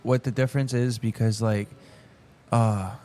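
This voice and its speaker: A man's voice: mid-range voice, fairly even intonation, a little slow, warm tone. A young man in casual chat, talking in a laid-back, slangy way with lots of "like.".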